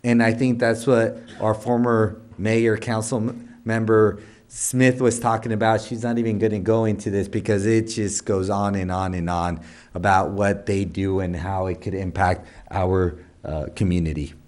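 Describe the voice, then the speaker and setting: A man's voice: on the low side, level tone, moderate pace, darkish tone. A man chairing a city council meeting, talking his way through a long list with many restarts and asides. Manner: rambling, many restarts, lists items. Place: council chamber.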